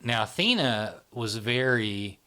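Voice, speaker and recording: Man, low voice, loose unhurried interview talk full of fillers and restarts. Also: clean tone. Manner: relaxed, rambling, many fillers and restarts. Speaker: channel founder, interviewee. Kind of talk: casual interview conversation.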